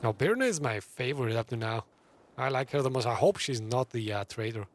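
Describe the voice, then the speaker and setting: A man's voice: medium pitch, lively intonation, fairly quick. Male gamer with faint background noise. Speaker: gaming streamer. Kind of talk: casual playthrough commentary.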